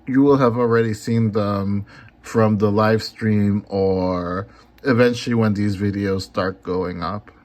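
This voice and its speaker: Man, low pitch, slow drawl, casual vlog chatter, lots of ums. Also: fairly even intonation, darkish tone. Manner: unhurried, lots of ums and filler. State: a little hoarse. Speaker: comic artist and YouTuber.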